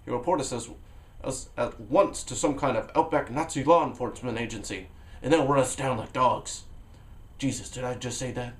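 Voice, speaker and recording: A man reading a novel aloud on a vlog, expressive, with sudden leaps in pitch and loudness and a low, hushed voice for inner thoughts. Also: normal speed. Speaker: vlogger reading a book aloud. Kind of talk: dramatic read-aloud of a novel.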